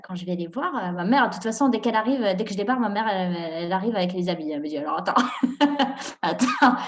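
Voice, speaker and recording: A young woman from Morocco answers interview questions with lively energy. She laughs often and fills her sentences with hesitations and quick restarts. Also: mid-range voice, a little hiss or hum. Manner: animated, laughs often, many fillers and restarts. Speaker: guest entrepreneur.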